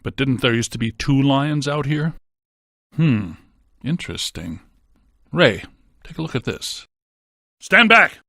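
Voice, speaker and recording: An actor playing a wry, unflappable ghost-hunting scientist in a video game, with a dry, matter-of-fact delivery. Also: male voice, mid-range voice, expressive, unhurried, vocal fry, long pauses, bright, forceful tone, no room sound, full character voice. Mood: alert. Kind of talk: scripted video game character lines.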